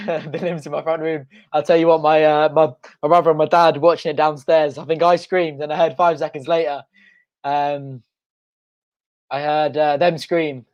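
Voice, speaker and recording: A young man hosting a live football watch-along from home, excitable and shouting, his voice going hoarse. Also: a little high, normal speed, muffled recording. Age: young adult. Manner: excitable, shouting and chanting at goals. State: losing his voice. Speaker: fan channel host. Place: home front room.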